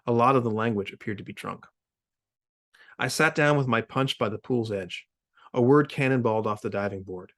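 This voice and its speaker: A man's voice: medium pitch, moderate pace, fairly bright tone. A man introducing and reading his own short story as its author, in the style of a literary reading. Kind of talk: informal spoken introduction, then literary reading. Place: remote video reading series.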